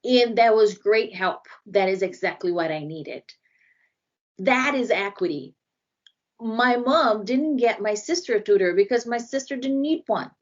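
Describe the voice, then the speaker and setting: A woman's voice: a little high, lively intonation, a little slow, lo-fi sound. Brazilian-accented woman explaining earnestly. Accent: Brazilian Portuguese. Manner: earnest, explanatory, frequent restarts. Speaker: inclusion consultant, guest. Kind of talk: podcast interview.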